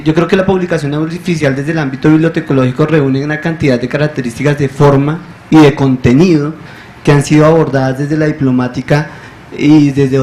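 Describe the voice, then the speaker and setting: A man from Colombia, a librarian commenting from the floor of a conference. His voice sits a little high and carries with roomy echo and a faint hiss behind it. He speaks earnestly and deliberately, restarting phrases as he goes. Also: darkish tone. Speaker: librarian asking from the audience. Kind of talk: audience comment at a symposium. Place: conference hall. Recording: echoey hall with faint noise.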